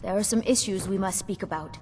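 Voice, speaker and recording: A woman's voice: fairly quick, a little mellow, lightly acted. An actress playing an earnest, naive and entitled young noblewoman ruler in a fantasy game, speaking formally. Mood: indignant. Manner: formal, regal. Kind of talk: fantasy game character acting. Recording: reverberant hall, faint rumbling noise.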